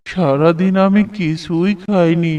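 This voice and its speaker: A man's voice: sits high, fairly even intonation, darkish tone, distant mic, lo-fi sound. A man playing an elderly, hungry beggar in a cartoon, humble and pleading. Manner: slow pleading delivery.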